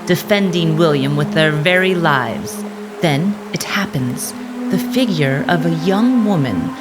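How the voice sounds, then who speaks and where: A woman narrating a scripted dramatic story in a measured, suspenseful manner. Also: lowish voice.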